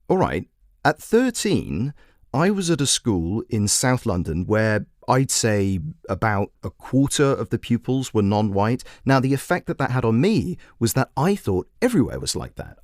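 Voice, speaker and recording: A man voicing a brisk female radio phone-in host who questions and persuades in a measured way. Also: mid-range voice, darkish tone, no room sound, studio quality. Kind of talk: talk radio presenting.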